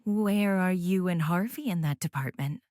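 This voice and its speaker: A woman's voice: fairly low pitch, moderate pace, a little mellow, no echo, clean studio sound, natural voice. An actress playing a cheerful, friendly married mother.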